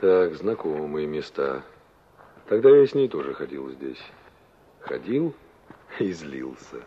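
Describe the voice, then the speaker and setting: An actor playing a wry, brusque young engineer with clipped, ironic delivery in a 1960s radio play. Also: male voice, rumbling low, very animated, slow pace, warm tone, husky, full character voice. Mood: musing. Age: young adult. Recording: dull, noisy vintage radio recording.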